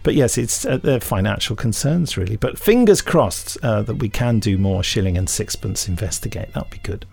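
Gravelly, lively male podcast host. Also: on the low side, moderate pace, few pauses. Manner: jokey, chuckling asides. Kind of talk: casual podcast chat, reading listener emails.

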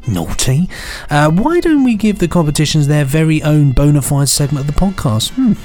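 A man's voice: on the high side, big pitch swings, moderate pace, a little mellow, faint music behind. A man co-hosting a podcast, chatting casually and jokingly in a droll, mock-serious way. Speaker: podcast co-host.

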